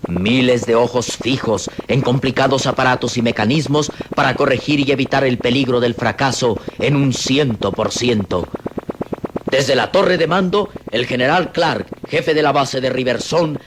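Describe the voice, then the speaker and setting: A man narrating a scripted radio drama solemnly, in measured phrases with dramatic pauses. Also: medium pitch, noisy background. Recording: vintage radio drama with music. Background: dramatic music.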